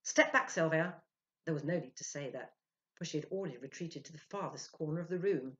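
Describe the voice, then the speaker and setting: A woman in her sixties giving a theatrical, expressive dramatic reading of a story as a professional storyteller. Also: sits low, quick pace. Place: small indoor room. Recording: dull lo-fi webcam sound.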